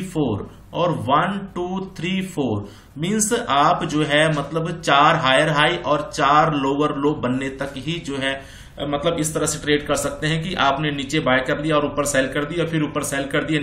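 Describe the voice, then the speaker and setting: A man's voice: on the high side, unhurried, sharp tone, echoey room. A man giving an informal tutorial as a trading instructor, explaining repetitively and mixing in English terms. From India. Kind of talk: informal screen-share tutorial on chart patterns.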